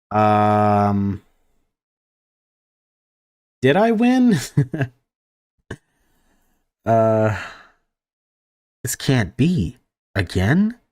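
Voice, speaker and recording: A man with a fairly low voice reads a horror visual novel aloud as he plays. He moves steadily through the game text and now and then bursts into loud, surprised reactions of his own. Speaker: let's play gamer.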